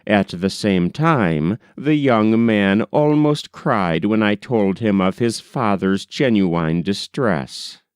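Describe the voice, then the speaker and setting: Slow, expressive male narrator. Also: lowish voice, clean tone, dry recording, studio quality. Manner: expressive, acts out dialogue. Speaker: audiobook narrator. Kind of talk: scripted literary narration, old-fashioned novel reading.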